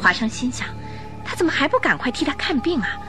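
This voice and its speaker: Expressive woman narrating radio drama over music. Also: high-pitched, fast. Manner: suspenseful, expressive storytelling.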